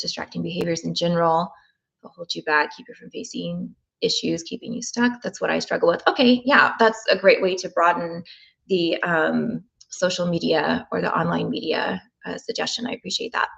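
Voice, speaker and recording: Gravelly-voiced woman hosting a live stream. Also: fairly low pitch, moderate pace, poor audio. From Oklahoma. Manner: warm, thinking aloud with frequent ums. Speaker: tapping session host. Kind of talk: live-stream chat, reading comments.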